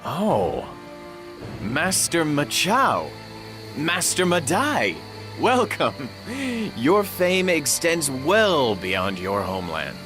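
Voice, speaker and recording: A man's voice: fairly high pitch, sing-song, extremely slow, over music, full character voice. A man playing a noble, earnest warlord in a dramatic video game, speaking with solemn courtesy and deliberation. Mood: gracious.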